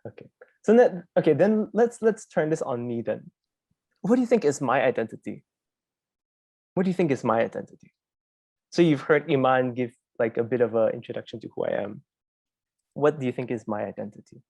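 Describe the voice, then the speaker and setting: A man's voice: mid-range voice, lively intonation, fairly quick, creaky, long pauses, a little mellow, no room sound. Malaysian man presenting, close dry sound. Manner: conversational, prompts listeners with repeated questions. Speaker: investigative journalist presenting as a webinar speaker. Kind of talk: informal interactive webinar presentation.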